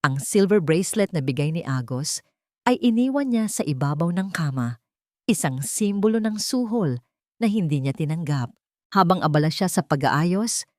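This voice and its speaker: A woman with a low-pitched voice reads a scripted love story as dramatic narration. The recording is dry and close, with no room sound. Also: darkish tone, dry recording.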